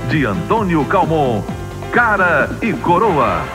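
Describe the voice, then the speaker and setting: A man's voice: a little high, very animated, takes it slowly. A Brazilian man reading a dramatic scripted soap opera trailer as a television promo announcer. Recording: loud music under 1990s TV promo. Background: loud music.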